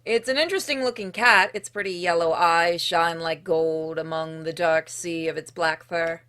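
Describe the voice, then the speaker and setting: Low-voiced woman narrating a game. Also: normal speed, a bit bright, clean tone. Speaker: streamer playing and narrating a game. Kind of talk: reading visual novel narration aloud with casual asides.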